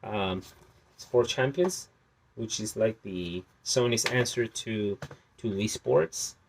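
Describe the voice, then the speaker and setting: A man's voice: fairly low pitch, slow pace, creaky, background noise. A young man whose first language is Spanish, hosting a casual vlog about his game collection and talking in a hesitant way with many fillers. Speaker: channel host.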